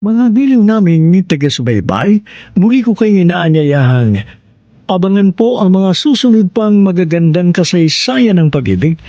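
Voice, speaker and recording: A man hosting a radio drama program with formal, measured, expressive scripted narration. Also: high-pitched, dry recording. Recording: dry, dull band-limited radio sound.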